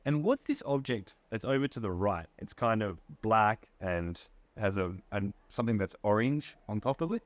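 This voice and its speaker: Lively Australian man interviewing slowly. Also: fairly low pitch, dry recording. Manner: patient, encouraging, checks and repeats answers. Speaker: English teacher and interviewer. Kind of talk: slow, clear interview for learners.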